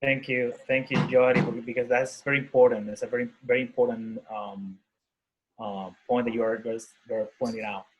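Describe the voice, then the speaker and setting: A man's voice: medium pitch, little pitch movement, fairly quick, lo-fi sound, slight background noise. A man moderating an online talk, thanking each speaker and calling on the next one. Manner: brief and upbeat, calls on participants one by one. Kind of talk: webinar moderating. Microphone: video call.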